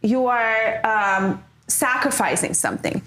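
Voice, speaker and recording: A woman's voice: fairly high pitch, unhurried, fairly bright tone. A young woman from Ukraine, a comic co-hosting a chat show, with a slightly raised voice and frequent laughter. Her phrasing is a little non-native, and she argues her points plainly. The room sounds echoey, with a light hiss. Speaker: podcast co-host and stand-up comic. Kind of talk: casual chat.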